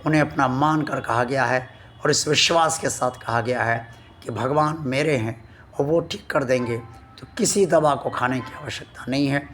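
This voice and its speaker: A man hosting a devotional channel, speaking to his viewers slowly and earnestly, his voice still weak from a sore throat. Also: medium pitch, a bit bright, soft background music. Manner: slow, earnest and devout, addressing viewers formally. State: recovering from a sore throat, voice not at full strength.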